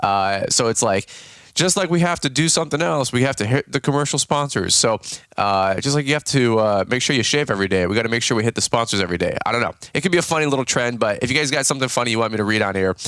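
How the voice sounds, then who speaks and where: A man hosting his own podcast in a casual, chatty way, a bit raspy and tired, with many fillers and restarts. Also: mid-range voice, animated, quick pace, fairly bright tone, dry recording. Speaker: podcast host. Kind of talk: casual solo podcast talk.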